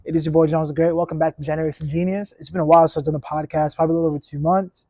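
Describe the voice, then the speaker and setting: A man's voice: a little high, little pitch movement, racing, warm tone, no echo, muffled recording. A man in his late twenties hosting a casual solo podcast outdoors on a clip-on mic, talking in a reflective, rambling, laid-back way. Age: 20s. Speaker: podcast host.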